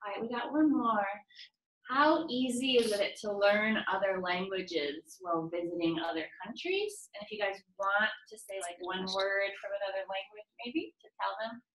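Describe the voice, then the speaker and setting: Young woman reading out questions for a panel, relaxed, echoey room. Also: medium pitch, normal speed, a little hiss or hum. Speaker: moderator. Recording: roomy echo.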